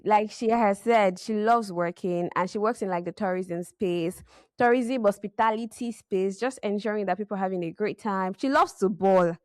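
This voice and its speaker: A woman's voice: medium pitch, normal speed, no echo. A young woman hosting a casual podcast chat, playful and teasing, laughing often. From Nigeria. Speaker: podcast host.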